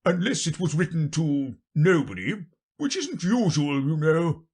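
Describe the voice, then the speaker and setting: A narrator voicing a pompous, muddle-headed old king, loud and emphatic, in a storybook reading. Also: medium pitch, very animated, a little slow, slightly rough, pitched above the performer's own voice. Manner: loud, emphatic, self-important.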